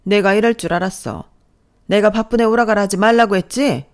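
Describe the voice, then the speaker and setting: A woman voicing a domineering middle-aged mother-in-law who sneers and belittles in a sharp, high voice, as part of a told story. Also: fairly quick, close to an everyday voice. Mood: scolding. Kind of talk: storytelling with acted dialogue.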